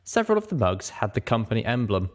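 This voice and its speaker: A man's voice: on the low side, very animated, fast. A man narrating a first-person fantasy story as a dramatic audiobook reader.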